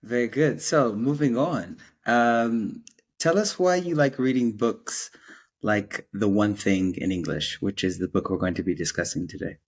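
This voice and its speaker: A man's voice: on the low side, measured pace. A man hosting a casual podcast interview, often repeating short acknowledgments and asking questions. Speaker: podcast host.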